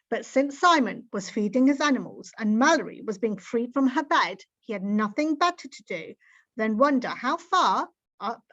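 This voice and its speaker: A woman reading a children's book aloud in an expressive storytelling style, on a slightly dull recording. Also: fairly high pitch.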